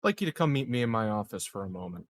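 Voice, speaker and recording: A man playing a tabletop roleplaying game, speaking in a measured, slightly halting way in and out of character. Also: on the low side, big pitch swings. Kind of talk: casual, in-character game talk.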